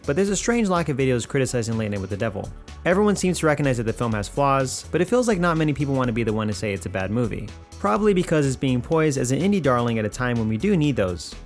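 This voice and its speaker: A man narrating a scripted video essay of film criticism in a measured, conversational, opinionated way. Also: medium pitch. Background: background music.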